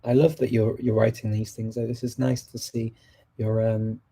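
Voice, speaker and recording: Host voice: native English-speaking man, very dark and mellow tone, flat delivery, halting as he hunts for words. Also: medium pitch, creaky, slightly rough. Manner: halting, often pausing to search for words. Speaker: host of a discussion on multilingual events. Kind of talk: casual live group chat.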